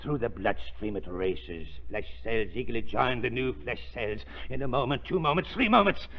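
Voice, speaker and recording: An actor playing a gloating, obsessed mad surgeon in 1930s radio drama, theatrical and menacing. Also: male voice, mid-range voice, animated, moderate pace. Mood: fevered, gloating. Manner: theatrical, gloating, crooning menace with cackling laughter. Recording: muffled vintage 1930s radio recording.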